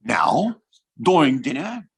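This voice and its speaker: A man's voice: on the high side, very animated, slow, creaky, slightly rough, exaggerated voice. A man playing a doting, self-important king in a period audio drama, speaking in flowery, courtly phrases. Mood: imperious. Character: doting, self-important French king, adult, mother-obedient.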